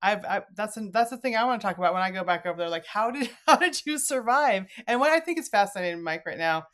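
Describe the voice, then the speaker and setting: A woman's voice: mid-range voice, expressive, quick pace, fairly bright tone. A middle-aged woman hosting a podcast, talking casually with her guest in a conversational interview style. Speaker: podcast host.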